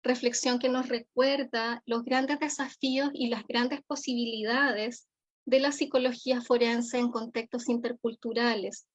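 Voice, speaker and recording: Chilean woman, academic keynote speaker. Fairly high voice, measured and deliberate, somewhat muffled lo-fi recording. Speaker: forensic psychologist giving a keynote lecture. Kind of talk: formal conference lecture. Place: remote online conference. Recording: dull, lo-fi audio.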